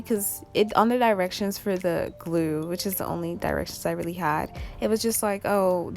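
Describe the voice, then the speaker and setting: Raspy just-woken young woman rambling. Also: medium pitch, a little mellow. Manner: rambling, self-critical, apologetic. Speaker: nail art vlogger. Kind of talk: casual voice-over narration. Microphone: phone.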